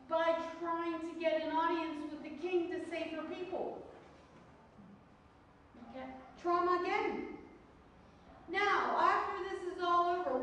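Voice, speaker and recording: A very reverberant, distant recording of a woman teaching a class. Her voice is high and she takes her time, pressing points with rhetorical questions and short check-ins to her students. The delivery is didactic and emphatic, with faint noise around it. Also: a bit bright. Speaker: counseling course instructor. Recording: very echoey hall, distant mic, faint noise.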